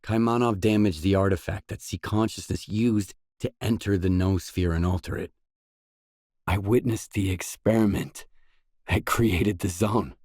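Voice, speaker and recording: Low husky brooding game hero. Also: male voice, fairly even intonation, measured pace, darkish tone, no echo, clean studio sound. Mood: grave. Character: determined, brooding male stalker in a grim game. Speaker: video game protagonist. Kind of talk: game voice acting, isolated dialogue lines.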